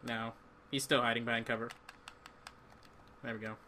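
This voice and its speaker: Guy gaming w a buddy, sharp bright voice, casual rambly jokey chat. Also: medium pitch, level tone, normal speed. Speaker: video game player.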